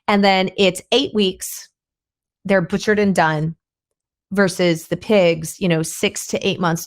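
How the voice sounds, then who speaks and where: A middle-aged woman with a hoarse voice hosting her own podcast, chatting in a rambling, thinking-aloud way with frequent restarts. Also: lowish voice. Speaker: podcast host. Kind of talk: casual solo podcast talk.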